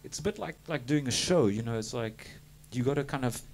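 A man from South Africa with a light South African accent, chatting casually between songs as bandleader, relaxed and rambling with lots of fillers. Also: medium pitch, brisk, slight background noise. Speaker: singer and bandleader. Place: small music studio with a live band. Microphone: stand mic. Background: live band playing loudly.

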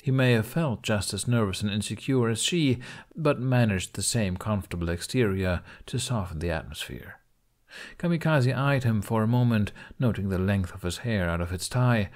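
A man's voice: fairly low pitch, animated, moderate pace. A man reading scripted fiction as an audiobook narrator.

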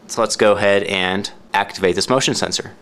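A man's voice: lowish voice, a little fast, fairly bright tone. A man narrating a step-by-step product tutorial in an informal, explanatory way, repeating key points for clarity. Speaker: product demonstrator.

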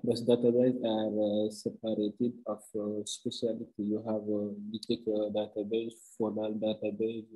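A man in his forties from Senegal with a Senegalese French accent, haltingly asking a workshop question over a video call, searching for his English words. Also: on the low side, completely flat delivery, mellow, low-quality recording. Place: small bright room indoors. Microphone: laptop webcam on a video call.

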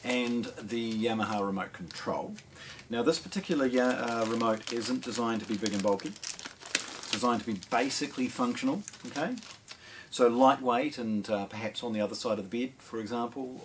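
A middle-aged man with a New Zealand accent casually presenting an unboxing, in a hesitant, improvised way with frequent pauses. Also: mid-range voice. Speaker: product presenter at an audio shop. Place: small indoor showroom with shelves and speakers. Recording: clear background noise.

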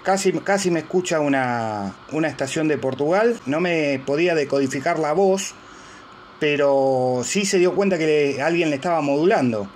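A man's voice: a little high, normal speed. A man with an Argentine Spanish accent, speaking informally as an amateur radio operator and presenter on a phone recording. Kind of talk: informal equipment demonstration and radio contacts. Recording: clear background noise, handheld phone video.

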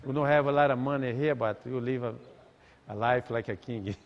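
Brazilian man in halting English. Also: mid-range voice, dull audio, noisy background. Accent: Brazilian Portuguese. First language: Portuguese. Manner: relaxed, halting non-native English. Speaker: interviewee, auto shop owner. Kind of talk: interview. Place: indoor auto workshop. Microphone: lapel mic.

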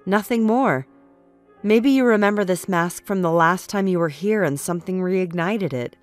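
A woman playing a calm, reassuring friend. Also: lowish voice, normal speed, faint music behind, natural voice. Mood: thoughtful. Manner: soothing, reassuring. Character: calm, caring female friend Azru. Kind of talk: audio comic book acting.

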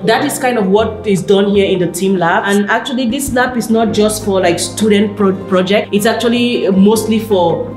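A woman's voice: medium pitch, moderate pace, few pauses. A young woman leads a casual tour through university labs, explaining as she walks, peppering her talk with "like". Her voice rings a little in the echoey indoor rooms, with music playing underneath. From Cameroon. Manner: relaxed, explanatory, fillers like "like". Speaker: PhD student giving a campus tour. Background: background music.

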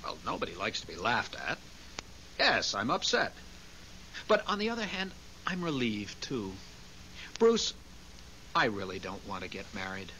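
A man's voice: lowish voice, big pitch swings, a little slow, long pauses, sharp tone, full character voice. An actor playing an earnest, emotional man in a 1970s radio drama. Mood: upset, relieved. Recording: hissy, noisy 1970s radio recording.

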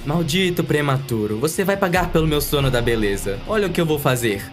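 A man's voice: a little high, lively intonation, brisk, lightly acted. A man voicing a paranoid, sassy, vengeful young man in a comic anime parody dub, theatrical, with a Brazilian accent. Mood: menacing. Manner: theatrical, exaggerated. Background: music.

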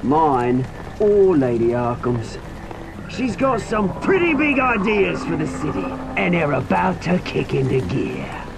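An actor playing a vengeful, taunting British villain in a video game, theatrical and sneering. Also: male voice, a little high, huge pitch swings, a little slow, creaky, background noise, exaggerated voice. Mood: gloating menace. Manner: theatrical, sneering, swinging into outbursts. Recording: loud music under the voice.

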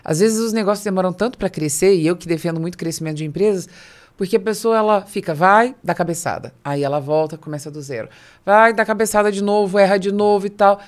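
A woman's voice: medium pitch, quick pace. Brazilian woman, emphatic podcast host. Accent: Brazilian Portuguese. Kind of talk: conversational business podcast interview. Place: small podcast studio with curtains, indoors. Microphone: close studio mic on boom arm.

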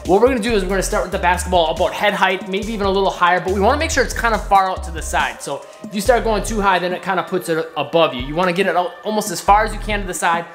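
A man's voice: high voice, racing, few pauses, a bit bright. Guy in his 30s walking thru basketball drills from his couch, roomy sound, bit of music behind. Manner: upbeat, demonstrating drills with a ball while seated. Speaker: basketball coach. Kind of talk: instructional drill demo. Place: living room, seated on couch. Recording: echoey room with faint music.

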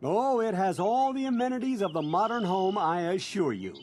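Casting: ingratiating video game salesman, high voice, swooping lively delivery, effusive and pushy. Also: moderate pace. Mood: cheerfully reassuring. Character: eager, fast-talking male door-to-door salesman, pushy and cheerful. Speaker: door-to-door vault salesman.

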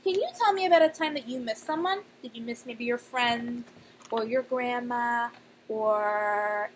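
A young woman, a nursery teacher, reading aloud and talking to young children in simple, expressive speech with emphatic pauses. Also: a little high. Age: young adult. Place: small indoor room. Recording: clear background noise, webcam-style room recording.

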